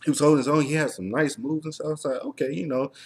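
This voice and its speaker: A man's voice: on the high side, steady tone, fast talker. A man hosting a casual review discussion, talking in a rambling, enthusiastic way with plenty of filler words. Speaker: host of a TV review show.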